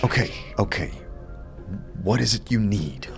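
A man's voice: fairly low pitch, animated, creaky, soft background music. Man playing a bewildered, stammering adventurer. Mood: wary, puzzled. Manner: halting, stammering. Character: wary, bewildered male adventurer, reluctant and blunt. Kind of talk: audio drama acting.

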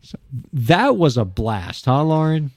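A man hosts a radio interview show and speaks with gushing enthusiasm. His pitch sits a little high and swings widely. The sound is dry and close, with no room echo. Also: slow. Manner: enthusiastic, animated and effusive. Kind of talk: casual interview chat.

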